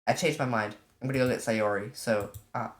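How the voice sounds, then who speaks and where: A let's player casually commenting on a visual novel and reading its text aloud, in an informal, halting way. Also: medium pitch, steady tone. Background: game music.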